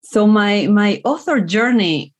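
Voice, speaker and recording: A woman's voice: mid-range voice, animated, slow. A woman in her forties from Argentina, a native Spanish speaker with an Argentine Spanish accent, speaking earnestly and reflectively as an author guest. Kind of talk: casual interview answers.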